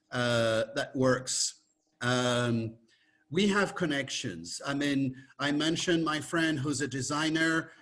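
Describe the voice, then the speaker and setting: A man's voice: medium pitch, fairly bright tone. A man who grew up between France and Morocco, speaking passionately as a travel company director in a webinar, with frequent fillers and restarts. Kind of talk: webinar talk. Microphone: video call.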